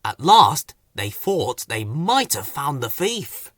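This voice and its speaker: A man reading a children's story as narrator, in a dramatic, expressive scripted delivery. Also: mid-range voice, takes it slowly, no room sound.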